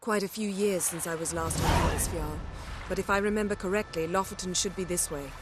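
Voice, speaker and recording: A woman's voice: fairly low pitch, fairly quick, very noisy, close to an everyday voice. Woman as poised, imperious sorceress. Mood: brisk. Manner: poised, formal, commanding. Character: imperious, self-assured sorceress, brisk and sardonic. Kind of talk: video game cutscene acting. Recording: loud game music under the voice.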